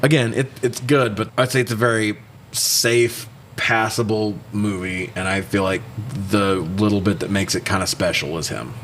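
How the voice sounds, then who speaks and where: Low-voiced man with noise behind. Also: steady tone, moderate pace, a bit bright. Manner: profane, blunt. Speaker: podcast co-host. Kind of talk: casual movie review chat.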